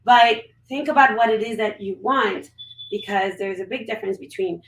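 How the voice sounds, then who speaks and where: A woman whose first language is French speaks in a candid, upbeat manner, stringing her thoughts together loosely. She is heard from some distance in an echoey room. Her pitch sits in an ordinary middle range. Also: normal speed. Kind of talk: casual conversation.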